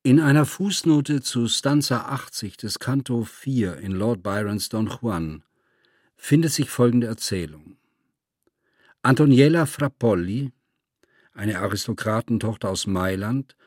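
A man with a husky voice reading scripted literary prose as a narrator. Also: medium pitch, darkish tone, no room sound, studio quality.

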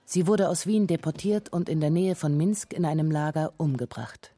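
A woman's voice: sits low, moderate pace, mellow, no room sound. A woman narrating a scripted radio feature as its documentary narrator.

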